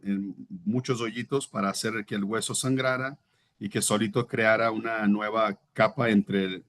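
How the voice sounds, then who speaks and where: A man in his thirties, born in Brazil and a native Portuguese speaker, tells his own story as an interview guest. His voice sits fairly low, and he talks in a reflective, earnest way. He sometimes pauses to search for the right word. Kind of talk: personal testimony in conversation.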